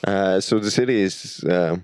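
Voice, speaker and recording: A man's voice: sits low, unhurried, darkish tone, clear, resonant tone, dry recording, studio quality. A young man, a master's student answering interview questions hesitantly with many restarts. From Estonia.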